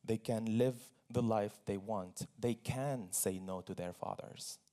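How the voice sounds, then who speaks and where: A man's voice: on the low side, measured pace, warm tone. A man from Syria speaking on stage as a guest at a forum, telling his personal story earnestly, with small laughs and repeated phrases.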